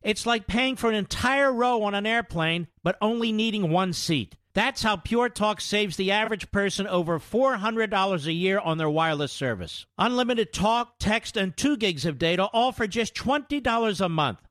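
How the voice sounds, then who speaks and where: A man's voice: high voice, animated, moderate pace, few pauses, fairly bright tone, no echo. A man reading a radio ad in a direct, salesy way. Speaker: ad announcer. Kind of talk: scripted ad read.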